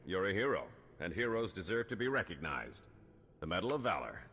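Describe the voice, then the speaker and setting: An actor playing a formal, assured male colonel in a video game, speaking in a measured, official way. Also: low-pitched, big pitch swings, fairly bright tone, exaggerated voice. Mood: formal, congratulatory. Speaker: military officer character. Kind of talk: video game cutscene acting. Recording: long hall reverb with music behind.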